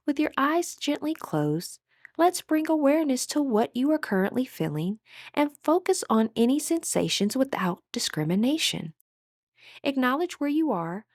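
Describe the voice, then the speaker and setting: A woman with a notably high voice guides a meditation. She speaks in a measured, instructive way, drawing out her phrases with audible breaths. The sound is very dry, with no room around it. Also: darkish tone, dry recording.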